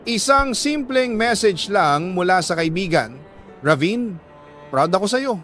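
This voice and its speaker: A Filipino man reading a scripted, sentimental first-person love story in an earnest, deliberate way, like a radio storyteller. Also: high voice, animated, fairly bright tone, faint music behind. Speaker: radio-style storyteller reading a listener's letter.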